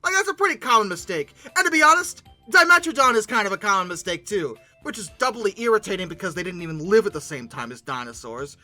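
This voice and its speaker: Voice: medium pitch, big pitch swings, fast, few pauses, sharp tone, soft background music. A host giving comedic commentary on pictures in informal, slangy talk, with exasperated, dramatic emphasis. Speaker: host of a paleoart commentary show.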